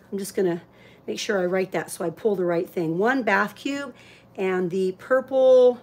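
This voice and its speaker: Chatty woman hosting a livestream sale. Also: medium pitch. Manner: chatty, thinking aloud as she handles items. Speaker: live auction host. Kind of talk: casual livestream sales chat.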